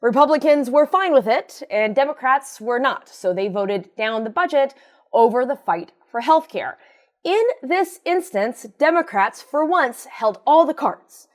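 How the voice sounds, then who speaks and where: A woman's voice: high-pitched, expressive, measured pace. A woman hosting a news commentary show in an informal, conversational way, with sardonic, wry comedic asides.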